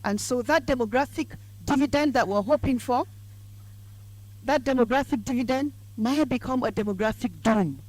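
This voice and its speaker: Hoarse woman giving a lecture. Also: fairly high pitch, a little mellow, no echo. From Nigeria. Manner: measured and emphatic, building to points. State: croaky, hoarse voice. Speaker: guest speaker at a public lecture. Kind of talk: formal lecture speech. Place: lecture event.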